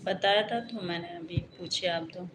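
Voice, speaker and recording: A woman from Uttar Pradesh hosting a casual live stream. She greets viewers by name and asks them calmly to like and subscribe. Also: fairly low pitch, moderate pace, very noisy. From Uttar Pradesh, India. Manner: calm, greets commenters by name and asks for likes.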